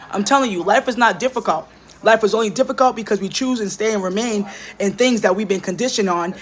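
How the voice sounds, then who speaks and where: A woman delivering an earnest, preachy motivational monologue, urging women again and again to speak up for themselves. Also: mid-range voice.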